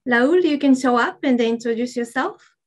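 A young woman with a Japanese accent, an admissions officer giving a friendly, halting presentation with fillers over a video call. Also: high-pitched. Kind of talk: online information session presentation. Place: indoors, virtual campus backdrop.

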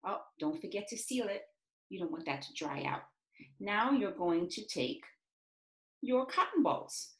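A woman in her forties teaching young children in an expressive, encouraging, sing-song way, as in a read-aloud and craft lesson. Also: mid-range voice, unhurried. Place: small indoor home classroom. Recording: roomy, echoey home room sound.

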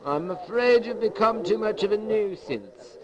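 A man's voice: high voice, animated, measured pace, crowd noise. A man playing a bullying, self-important gang boss in an amateur sci-fi cartoon, with a menacing, pompous delivery. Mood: stern, accusing. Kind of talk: amateur animated sci-fi voice acting.

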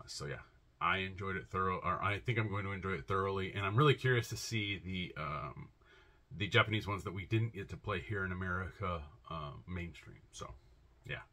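A man's voice: lowish voice, bright, forceful tone. A man in his thirties vlogging casually to camera from a shelf-lined room at home, rambling with lots of fillers and restarts. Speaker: comic shop vlogger.

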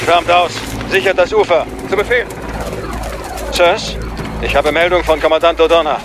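A man's voice: a little high, fairly even intonation, normal speed, music in the background, close to an everyday voice. A man playing a disciplined clone soldier in an audio drama, clipped and respectful, amid battle ambience. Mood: brisk, alert. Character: disciplined male clone commander, brisk soldier.

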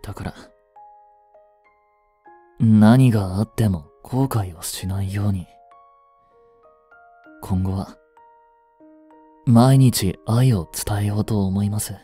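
A man voicing a devoted, protective young butler in a romantic voice drama, frantic while searching a fire and soft and tender at a bedside. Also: fairly low pitch, slow pace, pauses often, husky, soft background music. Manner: soft, gentle and intimate in the bedside scene.

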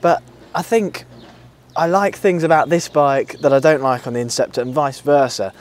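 A British man in his thirties chatting casually to camera as a vlog host, musing in an easygoing, enthusiastic way. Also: mid-range voice. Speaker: motorcycle reviewer, channel host. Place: outdoors at a table outside a country pub. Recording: clear outdoor ambient and vehicle noise.

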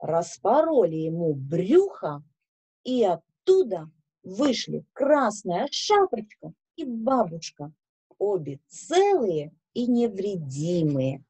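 A woman reads to children with a slow, careful delivery. Her voice is mellow and slightly husky, expressive and instructive throughout. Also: mid-range voice. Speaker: children's story reader. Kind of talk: storytelling to children. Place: small indoor room with bookshelves.

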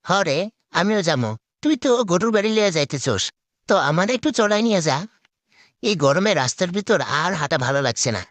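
A man's voice: sits high, lively intonation, brisk, a bit bright, no echo. A man playing a stingy, hot-tempered village husband in a cartoon, indignant and argumentative. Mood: grumbling. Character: hot-headed, stingy village husband who haggles and snaps at everyone. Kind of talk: comic cartoon acting in Bengali.

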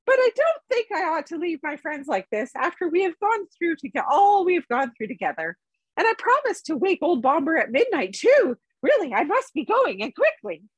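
A woman reading a storybook, playing a small, fussy, polite male hobbit, nervous but plucky, with a squeaky voice and chatty, hedging manner. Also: sing-song, moderate pace, no room sound, poor audio. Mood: nervous, sincere.

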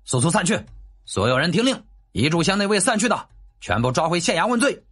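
A stern, feared magistrate of ancient China, put on by a male narrator. He speaks tersely and formally, with a husky edge and faint music behind him. It is audiobook drama with firm authority. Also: high voice, very animated, fairly quick, fairly bright tone, voice pushed up, full character voice. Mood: stern shouting.

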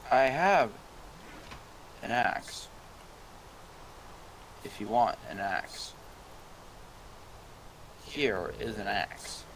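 A man's voice: lowish voice, expressive, extremely slow, long pauses, fairly bright tone, a little hiss or hum, low-quality recording. A man co-hosting a gaming video, joking with a friend and whispering to himself as he crafts. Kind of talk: casual gaming chat.

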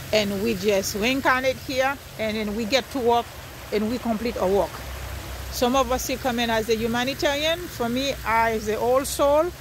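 A woman's voice: a little high, fairly bright tone, slight background noise. A woman from Haiti with a Haitian accent giving an earnest spiritual talk outdoors by a river at night, repeating her phrases as she preaches.

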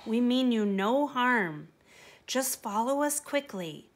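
A woman voicing village men who lead a donkey off, talking in a hushed, hurried way. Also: on the high side, animated, pitched above the performer's own voice.

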